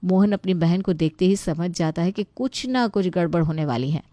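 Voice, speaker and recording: Woman reading a story script as narrator, slightly low voice, close dry recording. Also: normal speed, mellow, dry recording.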